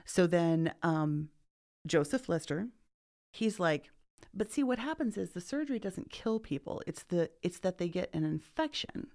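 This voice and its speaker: A woman's voice: fairly low pitch, expressive, measured pace, a little mellow. A woman hosting a casual podcast, animatedly retelling a history book and acting out quotes, laughing often. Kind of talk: casual chat.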